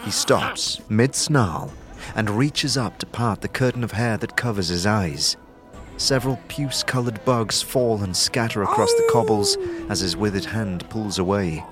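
A man with a fairly low voice narrates a fantasy audio drama. He tells it in a measured, suspenseful way, with soft music running underneath. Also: sing-song.

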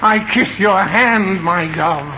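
An actor in a 1950s radio comedy playing an effusive rug-selling suitor, with a gushing, theatrical delivery. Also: male voice, high-pitched, slow, sharp tone, exaggerated voice. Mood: adoring. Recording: muffled, noisy old radio recording.

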